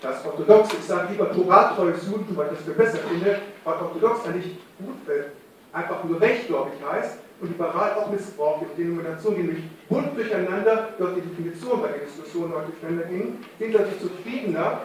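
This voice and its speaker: A man's voice: high-pitched, brisk, slight background noise. A man in the audience pressing an indignant question at a panel moderator. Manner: indignant, insistent. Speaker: audience member asking a question. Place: large hall at a community event. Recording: echoey hall from far off.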